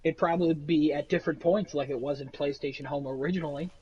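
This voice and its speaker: A man with a nasal voice, rambling through animated stories in a casual chat among friends. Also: fairly high pitch, fast, husky, highs cut off. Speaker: podcast co-host.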